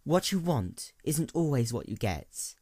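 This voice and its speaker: A man voicing a weary, sorrowful female pony princess in a storybook, speaking in a soft, restrained, measured way. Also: mid-range voice, very animated. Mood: stern sorrow.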